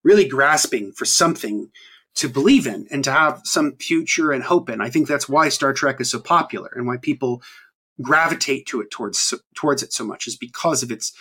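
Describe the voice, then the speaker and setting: A man's voice: medium pitch, brisk, bright, forceful tone, slightly rough. A man in his thirties hosting a podcast, talking casually and rambling with many ums and restarts. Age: 30s. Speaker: podcast host. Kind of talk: casual chat.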